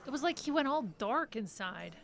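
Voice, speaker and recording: An actress playing a caring but defensive caregiver in a radio drama, hesitant and conversational. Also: female voice, high-pitched, very animated, moderate pace, gravelly, background noise, heavily acted. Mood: defensive, uneasy. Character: caring, defensive female caregiver to an old doctor.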